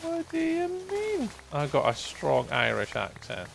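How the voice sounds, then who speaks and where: A Scottish man in his thirties with a softened accent, rambling casually on a live gaming stream with many ums and restarts. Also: on the high side, wildly swooping pitch, measured pace, creaky, a little hiss or hum. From Scotland.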